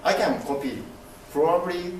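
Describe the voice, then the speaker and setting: A young man from Japan with a Japanese accent gives a class presentation as a business student, halting and filling pauses as he explains. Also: on the high side, slight background noise. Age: young adult. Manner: halting, many fillers and restarts, gestures broadly. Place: classroom with projector screen. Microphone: far camera. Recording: echoey classroom from far off.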